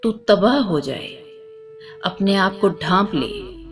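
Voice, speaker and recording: A woman's voice: mid-range voice, expressive, takes it slowly. A woman storyteller voicing a pious, stern old male hermit in a solemn, admonishing manner. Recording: music under the voice.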